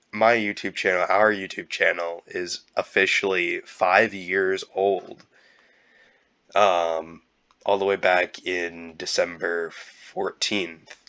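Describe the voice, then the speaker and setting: A young man with a cold, talking in a halting, casual way with many fillers and restarts. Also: low voice, fairly bright tone, clear, resonant tone, dull audio. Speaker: YouTuber. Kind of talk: casual year-end vlog.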